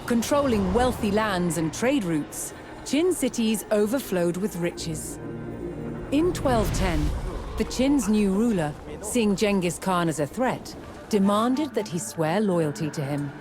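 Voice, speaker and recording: A woman reads scripted documentary narration at a slow, deliberate pace. Her mid-pitched voice carries a measured sense of drama, like a storyteller setting out history. Music plays clearly behind her. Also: animated. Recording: music under the voice. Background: background music.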